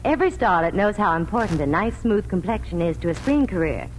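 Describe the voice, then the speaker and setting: A female reporter doing scripted advertising chat in 1940s radio style. Her intonation swings in an animated way, over faint noise on a vintage broadcast. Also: mid-range voice, brisk, poor audio. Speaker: Hollywood reporter, ad presenter.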